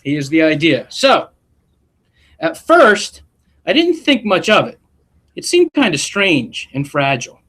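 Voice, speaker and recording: A man reading a picture book aloud like a principal to schoolchildren, with expressive, deliberate storytelling delivery and short asides. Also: on the high side.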